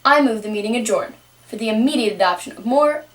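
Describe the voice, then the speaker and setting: A woman's voice: a little high, animated, fast talker, close to an everyday voice. A woman voicing an officious, wordy dodo who explains things formally in a puppet show. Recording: roomy, distant home microphone.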